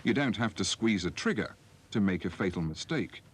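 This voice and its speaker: A British man delivering measured, explanatory scripted narration for an instructional film. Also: fairly low pitch, expressive. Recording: slightly noisy 1980s film soundtrack.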